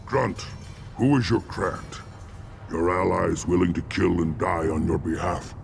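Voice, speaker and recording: An actor playing a stern, proud alien elder in a video game, with a gravelly voice and formal, declamatory delivery. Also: male voice, deep voice, fairly even intonation, a little slow, music in the background, heavily acted. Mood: scornful, stern.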